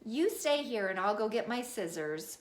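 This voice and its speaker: A woman reading an audiobook, voicing a patient, sensible mother who reasons calmly. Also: medium pitch, moderate pace, echoey room, close to an everyday voice. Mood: firm.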